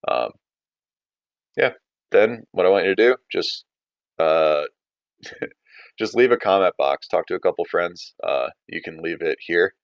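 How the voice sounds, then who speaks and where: Casual low-voiced male teacher. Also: a little slow, vocal fry, long pauses, no room sound, dull audio. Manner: informal, conversational, thinking aloud. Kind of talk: casual writing lesson and story read-aloud.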